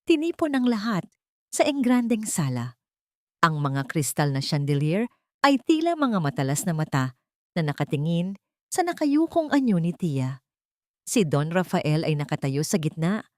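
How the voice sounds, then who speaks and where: Woman narrator, low voice, dry close recording, measured dramatic storytelling, audiobook drama. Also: very animated, dry recording.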